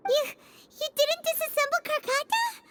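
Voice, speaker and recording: A woman voicing a tiny, childlike female fairy companion in a video game, squeaky, excitable and naive. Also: moderate pace, heavy background noise. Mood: confused. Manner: speaks of herself in third person.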